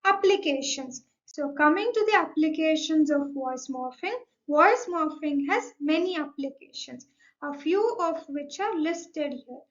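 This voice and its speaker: A woman's voice: high-pitched, lively intonation, a little slow. A young woman with an Indian accent gives an explanatory slide presentation, methodically signposting each point. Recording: dull, lo-fi microphone sound.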